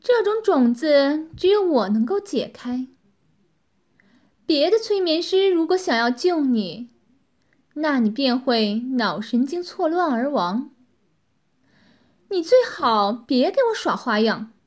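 A woman's voice: high voice, sing-song, takes it slowly, pauses often, darkish tone, voice pushed up, heavily acted. A woman voicing a cruel, mocking, domineering young female villain in a dramatized audiobook, with a commanding, taunting delivery. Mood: threatening.

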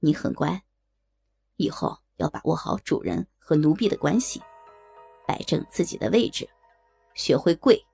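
Woman voicing a husky, low, refined master. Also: wildly swooping pitch, warm tone, soft background music, exaggerated voice. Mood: gently commanding. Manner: mild, unhurried, authoritative. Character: wealthy, refined, gently commanding male master of the house. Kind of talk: audiobook character dialogue.

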